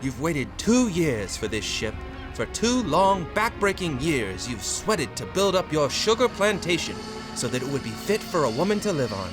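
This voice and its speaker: Male storyteller, theatrical and suspenseful horror comic narration, very expressive pitch, music bed. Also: moderate pace. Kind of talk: dramatic second-person horror comic narration. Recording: music behind the voice.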